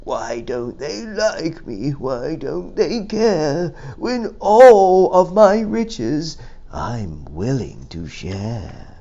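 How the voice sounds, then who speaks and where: A man voicing a lonely, foolish old goat from a storybook, plaintive and halting, wistful and apologetic. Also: fairly high pitch, big pitch swings, dark tone, highs cut off, voice pushed up, full character voice. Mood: whimpering, hurt.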